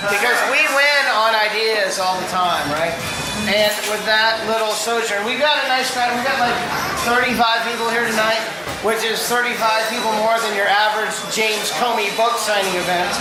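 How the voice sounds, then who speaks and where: A high-voiced man jokes his way through introductions at a meeting. The room rings with heavy echo, and loud background noise runs underneath him throughout. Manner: breezy, wisecracking. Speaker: event emcee. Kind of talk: joking event introductions. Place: meeting room with a live audience.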